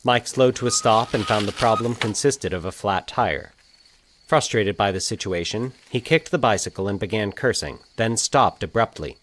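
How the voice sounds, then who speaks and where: A man narrating a scripted fiction story in a measured, dramatic storytelling manner. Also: fairly low pitch, fairly bright tone, slight background noise.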